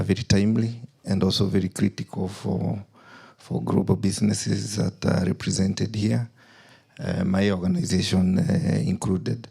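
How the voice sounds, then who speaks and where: A man's voice: lowish voice, gravelly, warm tone, slightly rough. A man with a Kenyan accent speaking as a company CEO on a conference panel, in formal remarks broken by frequent "uh" fillers. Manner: halting, heavy with "uh" fillers.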